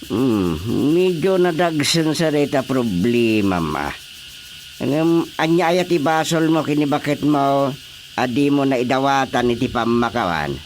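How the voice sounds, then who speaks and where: A man playing a bemused, patient village chairman in an Ilocano radio comedy drama, with drawn-out hums and probing questions. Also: mid-range voice, very animated, soft background music.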